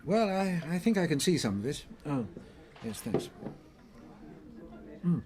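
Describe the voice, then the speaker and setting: An actor playing an earnest, good-natured Victorian doctor who sounds eager and a little flustered in a radio play. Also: male voice, a little high, big pitch swings, measured pace, noisy background. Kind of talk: radio drama acting.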